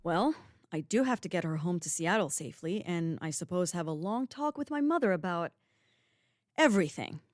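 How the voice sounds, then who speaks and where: An actress playing a blunt, sarcastic, hot-tempered secret agent in an audio comedy drama. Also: female voice, lowish voice, lively intonation, moderate pace, dry recording, clean studio sound, close to an everyday voice. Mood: concerned.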